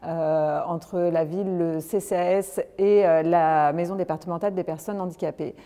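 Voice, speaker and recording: A woman's voice: on the low side, steady tone, normal speed, warm tone, big-room sound. A woman speaking as a local official in an interview, carefully and in short broken phrases. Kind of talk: interview statement.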